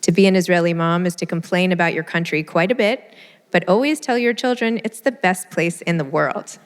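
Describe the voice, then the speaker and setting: A woman moderating a live book event, speaking in an earnest, conversational way with a slightly low voice. Her words ring out with long reverb from a large event hall. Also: fairly quick, clean tone. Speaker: host and moderator. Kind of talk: live panel event, reading an essay aloud.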